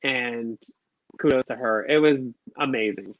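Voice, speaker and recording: A man's voice: medium pitch, unhurried, no room sound. A man co-hosting a casual review chat, gushing and bubbly, full of "like" fillers. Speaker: podcast co-host. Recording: dull lo-fi dry sound.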